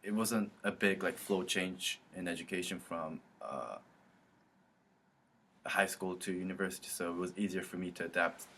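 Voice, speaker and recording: A young man from South Korea with a very low voice talks about his studies, hesitating often and restarting his sentences. There is a faint hiss behind him. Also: moderate pace, sharp tone. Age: young adult. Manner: hesitant, many ums and restarts. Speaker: international student. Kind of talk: interview testimony.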